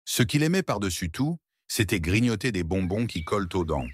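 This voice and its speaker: A man narrating a scripted children's story with expressive, playful storybook delivery. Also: on the low side, a little fast, breathy edge.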